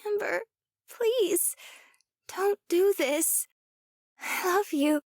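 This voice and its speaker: Very high, pleading girlish young woman. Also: dry recording, clean studio sound, heavily acted. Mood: tearful pleading. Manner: halting, emotional, pleading. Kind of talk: visual novel voice acting.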